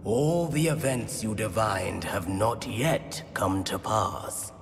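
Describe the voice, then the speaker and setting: An actor playing a solemn, noble male centaur in a video game, with grave, formal, measured delivery. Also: fairly low pitch, long reverb tail, faint music behind. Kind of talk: dramatic video game acting.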